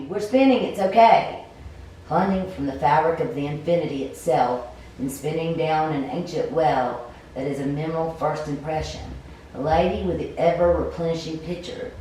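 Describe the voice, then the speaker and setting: A woman with a low voice reads fantasy narration at sight, slightly halting. She sounds set back from the mic in a strongly echoing room with faint noise. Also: dark tone, distant mic. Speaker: player reading a story game. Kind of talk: cold read of scripted fantasy narration.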